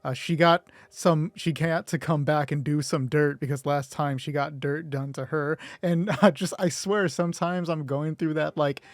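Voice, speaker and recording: A man's voice: fairly high pitch, steady tone, moderate pace, few pauses, no echo, studio quality. A man hosting a solo roleplay show in casual, rambling, self-deprecating commentary, swearing offhandedly. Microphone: close mic with gain set high.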